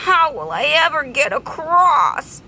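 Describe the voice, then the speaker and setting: Woman voicing a greedy, excitable little storybook bee. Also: sits high, takes it slowly, noisy background, voice pushed up, exaggerated voice. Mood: dismayed. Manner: exclaiming, wailing and boastful. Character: greedy, whiny male bee, childish and excitable. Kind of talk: children's storybook character voice.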